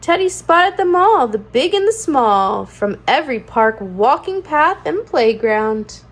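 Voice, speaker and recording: A woman with a high voice reads a picture book to young children. Her delivery swings widely up and down, with a singsong rhyming cadence and plenty of expressive emphasis on key words. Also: unhurried. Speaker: children's read-aloud channel host. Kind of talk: animated storybook read-aloud for young children.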